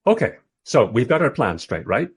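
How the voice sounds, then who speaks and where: An actor playing a mild, polite time-travelling interviewer who narrates his story wryly in a radio play, with a husky voice. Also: mid-range voice, very animated, normal speed. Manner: wry, polite. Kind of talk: first-person radio play narration and dialogue.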